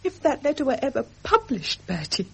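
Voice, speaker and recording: An actress playing a seductive society mistress, anxious and imploring in a melodramatic radio drama. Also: female voice, sits high, very animated, fairly quick, a little hiss or hum. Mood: anxious confessing. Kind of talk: 1970s British radio drama acting.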